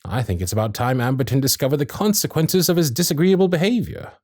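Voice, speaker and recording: A man playing a courteous, protective Victorian gentleman suitor in a romantic period ASMR roleplay, with formal, old-fashioned phrasing and dramatic pauses. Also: medium pitch, expressive, no room sound, studio quality. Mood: reassuring, resolute. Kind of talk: romantic period ASMR roleplay, intimate one-sided dialogue.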